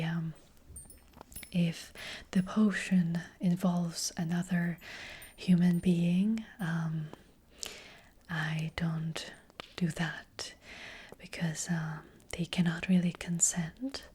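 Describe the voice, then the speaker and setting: A woman playing a gentle fortune-telling witch in a whispered, breathy ASMR roleplay, speaking hesitantly and reassuringly close to the microphone. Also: lowish voice, full character voice. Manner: hesitant, unhurried, softly reassuring. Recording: faint noise, soft clicks.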